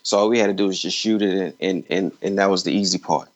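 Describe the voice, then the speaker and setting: Male interview guest, lowish voice, casual and halting, muffled lo-fi recording. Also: fairly even intonation. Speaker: filmmaker guest.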